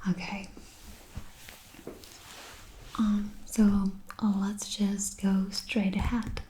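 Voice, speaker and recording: Czech woman ASMR teacher, whispery soft voice, slow and flat, faint hiss behind. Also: medium pitch, poor audio. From Czech Republic. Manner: hesitant, many ums and likes. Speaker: ASMR host. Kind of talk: soft-spoken ASMR language lesson.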